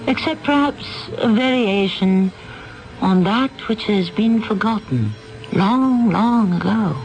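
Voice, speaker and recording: Animated male radio mystery host, higher-pitched. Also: faint music behind, rough recording. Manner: measured, portentous. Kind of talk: dramatic scripted 1970s narration.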